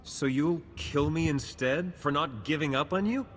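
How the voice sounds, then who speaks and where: A man's voice: fairly high pitch, measured pace, natural voice. A man playing a meek, lovesick jilted gambler in a video game, hesitant, stammering and earnest. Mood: wounded. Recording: long reverb, music behind.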